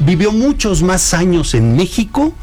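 A middle-aged man with a Mexican accent talks in a slightly raised voice. He tells anecdotes reflectively, often pausing on "eh" and restarting his sentences. Faint music plays behind him. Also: sits high, very animated, a little mellow. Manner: reflective storytelling, hesitant "eh" restarts. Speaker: guest. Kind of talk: conversational interview.

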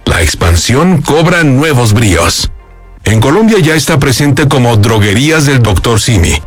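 A man's voice: mid-range voice, sing-song, a little slow, slightly rough, no room sound. A man with a Mexican accent reading scripted narration for a promotional video. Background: background music.

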